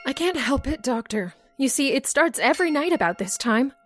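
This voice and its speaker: An actress playing an anxious, frightened widow in an old-time radio horror drama, agitated and given to exclaiming. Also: female voice, high-pitched, lively intonation, normal speed, faint music behind. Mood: anxious, haunted.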